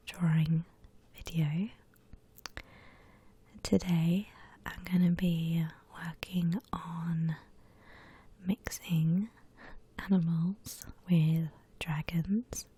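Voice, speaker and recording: Whispering woman, slow ASMR drawing tutorial. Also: sits low. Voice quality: breathy whisper. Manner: gentle, unhurried step-by-step explaining.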